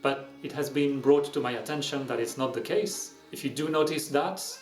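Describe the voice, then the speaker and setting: Male host, French accent, dry self-mocking wit, mid-pitched, roomy sound with faint music behind. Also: moderate pace. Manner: self-deprecating, wry humor. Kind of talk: casual explanatory vlog narration.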